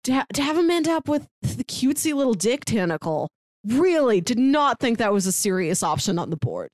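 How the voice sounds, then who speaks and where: A woman's voice: on the high side, big pitch swings, fairly quick, darkish tone, no echo. Casual woman podcaster, dry close sound. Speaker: podcast co-host reviewing manga. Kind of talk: casual conversational book review.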